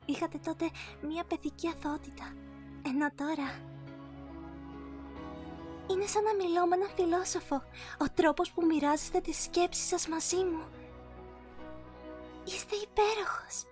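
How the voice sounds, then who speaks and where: A woman playing a gentle, sheltered young princess in an anime fan dub, speaking politely, formally and earnestly. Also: very high-pitched, pauses often. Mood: shy admiration. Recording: music behind the voice.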